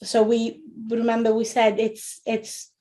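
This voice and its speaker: Higher-pitched Italian-accented woman presenting. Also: completely flat delivery, a little slow, mellow. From Italy. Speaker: webinar presenter, early years educator. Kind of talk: informal educational webinar talk.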